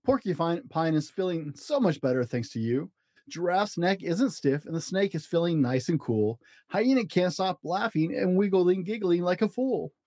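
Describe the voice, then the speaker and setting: Guy doing a gushing zookeeper from a kids book, excitable, very lively, dry sound. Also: sits high, normal speed, dry recording. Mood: grateful. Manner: gushing, exclamatory. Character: excitable, grateful male zookeeper.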